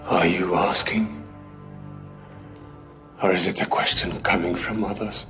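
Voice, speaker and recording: An actor playing a calm, resolute captive prophet in a biblical drama, speaking in a measured, solemn way. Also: male voice, low voice. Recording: music under the voice.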